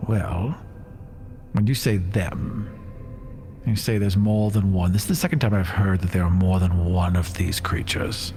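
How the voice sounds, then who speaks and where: A man playing a tabletop game, casually narrating what his character does and thinking out loud as he goes. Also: deep voice, a little slow, soft background music. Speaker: player.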